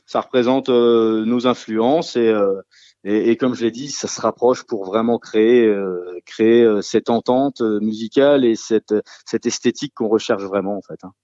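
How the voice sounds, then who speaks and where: A man's voice: lowish voice, normal speed, warm tone, no room sound. Hesitant man musician in a dull dry studio. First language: French. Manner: hesitant, many fillers and restarts. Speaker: singer-guitarist of a rock trio. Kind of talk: casual radio interview answers.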